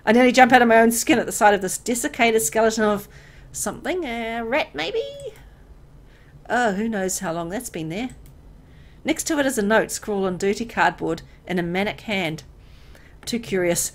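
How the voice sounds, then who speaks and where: A woman reading a horror visual novel aloud as dramatic, expressive narration, as host of a gameplay video. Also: a little high, moderate pace.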